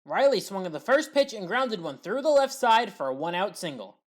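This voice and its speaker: A woman recapping baseball highlights in a voice-over as a home-team fan, reading steady play-by-play with wry asides. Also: normal speed. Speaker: sports highlights commentator.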